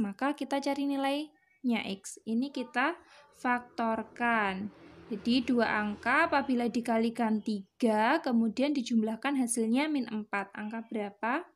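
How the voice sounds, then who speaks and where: A woman teaching a math lesson to students, explaining step by step. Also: on the high side. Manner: patient, explains step by step with frequent "ya".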